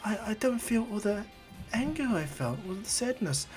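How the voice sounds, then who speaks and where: A man's voice: high voice, big pitch swings, normal speed, darkish tone, soft background music, voice pushed up. A man voicing a frightened young girl who speaks in a timid, wondering way. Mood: bewildered.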